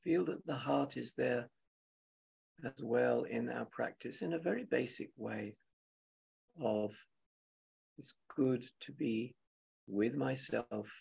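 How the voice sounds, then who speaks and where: A man guides a meditation in a very husky, breath-worn voice with heavy creak. He speaks gently and very slowly, leaving long pauses between short phrases, as a teacher feeling out each thought. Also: medium pitch. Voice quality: husky, gravelly. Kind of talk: guided meditation, spoken softly and unscripted.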